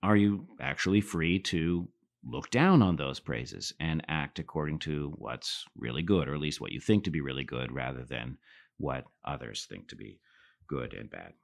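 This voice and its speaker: A middle-aged man hosting a philosophy podcast, giving thoughtful lecture-style commentary and reading passages aloud, lifting his voice for quotes and dropping low at the ends of phrases. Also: expressive, normal speed, gravelly.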